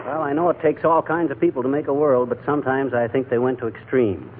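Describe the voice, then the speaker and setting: An actor playing a blustery, wisecracking American husband with folksy banter in a 1940s radio comedy. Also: male voice, medium pitch, fairly even intonation, fast talker, natural voice. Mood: dry needling. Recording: tinny, hissy 1940s radio recording.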